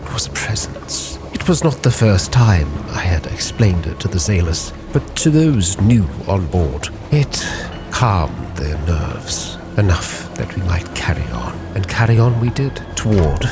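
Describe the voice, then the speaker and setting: A man narrating a dramatic story as a sea captain reading his log, solemn and measured, with phrases that sink into a hush. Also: low voice, very animated. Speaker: narrator and roleplayer. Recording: music under the voice.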